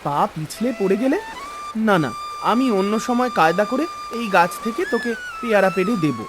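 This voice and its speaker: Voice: mid-range voice, a little fast, background noise, lightly acted. A voice actor playing a young boy in a cartoon, a caring older brother who speaks affectionately with mild scolding. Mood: fond scolding. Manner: affectionate, mildly scolding, expressive. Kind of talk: melodramatic cartoon acting.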